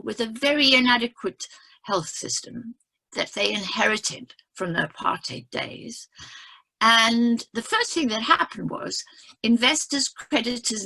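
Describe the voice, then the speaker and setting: An older woman from South Africa talks on a video call. Her husky, gravelly voice rises and falls a lot as she tells the story with emphasis. She keeps checking in with her listeners. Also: a little high, sharp tone, low-quality recording. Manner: emphatic storytelling with frequent "right?" asides. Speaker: economist speaking on a webinar panel. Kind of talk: impassioned explanatory talk.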